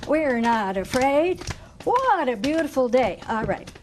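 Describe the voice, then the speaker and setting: A woman with a slightly high voice reads a picture book to young children. She takes her time, falling into an expressive sing-song chant and drawing the listeners in as a teacher would. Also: unhurried, background noise. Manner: asks listeners questions like a teacher. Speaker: volunteer story reader for children.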